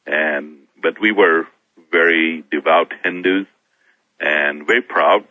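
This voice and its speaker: A middle-aged man from Kenya, a guest on a talk show, telling his own story in a halting way, often restarting his words. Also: rumbling low, no echo. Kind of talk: personal testimony in a talk show interview. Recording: thin phone-like sound, dry.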